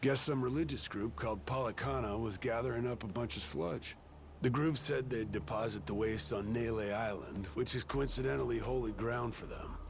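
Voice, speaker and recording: A man playing a gruff, suspicious middle-aged barkeep in a video game, with a sardonic, grudging manner. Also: mid-range voice, normal speed, noisy background, natural voice. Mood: wry, explanatory. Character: gruff, suspicious barkeep father, former treasure hunter. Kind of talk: English video game voice acting.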